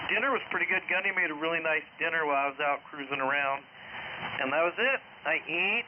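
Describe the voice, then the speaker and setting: A middle-aged man chatting casually as an amateur radio operator, breezy, in short choppy sentences, heard over the radio. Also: a little high. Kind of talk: casual ham radio chat. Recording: dull, noisy ham radio transmission.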